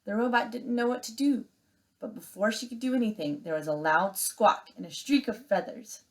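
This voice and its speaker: A woman's voice: a little high, normal speed. A woman teacher reading a children's story aloud expressively and giving instructions to students.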